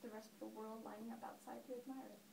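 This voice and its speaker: A woman playing an upset woman recalling her childhood in a dramatic stage monologue, emphatic and with deliberate pauses. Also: a little high, level tone, gravelly. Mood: nostalgic, fond. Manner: emphatic, storytelling with deliberate pauses and swelling stress. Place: echoey indoor room, recorded from a distance. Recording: echoey room, distant mic, noisy.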